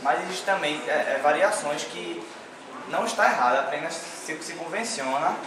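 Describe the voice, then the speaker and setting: Halting man in a very echoey space. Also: fairly high pitch. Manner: halting, many restarts. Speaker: interviewee. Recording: very echoey, distant, noisy.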